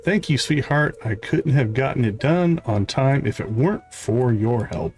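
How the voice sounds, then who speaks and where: A man's voice: medium pitch, expressive, normal speed, a little mellow, natural voice. A man voicing a kindly, affectionate old village lady in a video game, sweet and fussing. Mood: warm, grateful. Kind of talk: reading video game dialogue aloud. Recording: music playing behind the voice.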